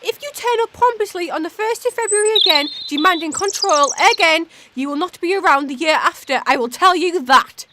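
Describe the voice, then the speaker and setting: A woman's voice: extremely high pitch, fairly quick, few pauses, sharp tone, a little hiss or hum. A woman acting a haughty, stubborn winter spirit in a comedic radio drama, snide and argumentative. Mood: indignant. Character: Winter, haughty petulant female season, stubborn and sarcastic.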